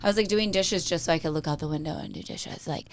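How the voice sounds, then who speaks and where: A woman co-hosting a casual show, telling stories in a chatty, bubbly way, her voice a little raspy from yelling. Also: deep voice, fast talker. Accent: American. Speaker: co-host. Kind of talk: casual chat.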